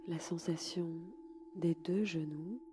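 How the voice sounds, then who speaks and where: A woman guiding a relaxation session slowly and calmly, in a soft, breathy voice that often nears a whisper. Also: lowish voice, over music. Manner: calm, soothing, unhurried. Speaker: podcast host and yoga nidra guide. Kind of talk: slow, soft guided relaxation.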